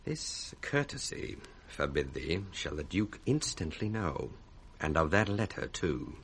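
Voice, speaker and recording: A scheming young British villain in a Shakespearean radio play. His deep, husky voice is slow and deliberate, swooping with sly ambition. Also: sing-song, a bit bright, a little hiss or hum, full character voice. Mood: sly, gloating.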